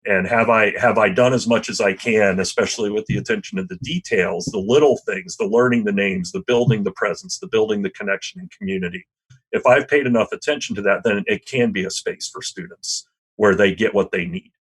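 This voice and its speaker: A man who teaches history, speaking reflectively as a podcast guest with a loud voice and frequent "you know" and "right?". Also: on the low side, expressive, fairly quick, slightly rough, low-quality recording. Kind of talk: reflective conversational interview. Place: podcast interview, remote or studio.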